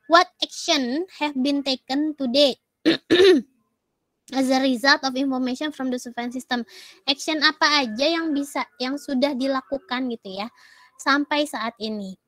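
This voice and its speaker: A high-pitched woman talks through her lecture in a loose, chatty way, mixing English terms in among many fillers. She is getting over a cough, and her voice sounds dry and close to the mic. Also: a little slow, a bit bright, no echo, rough recording. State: coughing, recovering from a cold. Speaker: university lecturer. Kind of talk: casual online lecture.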